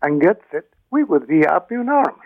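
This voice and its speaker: Halting man caller from Mexico. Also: fairly high pitch, very animated, no room sound. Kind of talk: call-in complaint. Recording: phone line.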